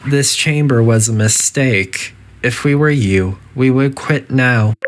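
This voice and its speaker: A video game facility AI acted out by a performer, mid-pitched and measured. It delivers scripted announcements with formal politeness. The detached, deadpan manner carries a cool menace. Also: natural voice. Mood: deadpan, discouraging. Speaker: facility announcer guiding test subjects.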